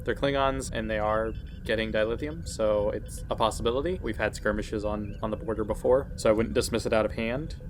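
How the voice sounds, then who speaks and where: A man's voice: fairly low pitch, fairly even intonation, fairly quick, few pauses, over music. A man roleplaying a starship officer in a casual tabletop game, reporting matter-of-factly. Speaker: player acting as starship officer. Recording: clearly noisy room background.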